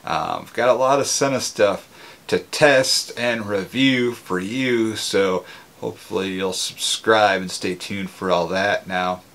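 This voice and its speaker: A man's voice: on the low side, steady tone, unhurried, fairly bright tone. A man talks casually to viewers. His delivery is conversational and plain-spoken, like an everyday product reviewer. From Minnesota, United States. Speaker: motorcycle gear reviewer.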